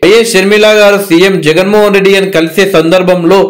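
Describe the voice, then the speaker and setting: A man's voice: sits high, steady tone, fast talker. A man presenting political news as a scripted narration.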